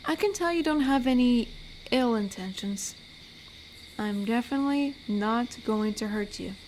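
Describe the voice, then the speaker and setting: An amiable werewolf woman, played by a voice actress in an intimate audio roleplay, speaking in a fairly high voice at an unhurried pace. She is a chatty, slightly awkward forest guardian with a gentle way about her. Crickets and night sounds sit faintly behind her. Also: a little hiss or hum, natural voice, rough recording. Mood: gentle, bashful.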